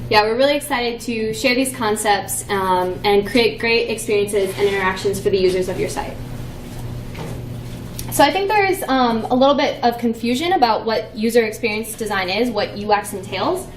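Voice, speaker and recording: A woman's voice: on the high side, expressive, moderate pace, background noise. Playful young woman presenting in an echoey room. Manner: playful, chatty with many fillers. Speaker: conference presenter. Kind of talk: conference talk. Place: conference room.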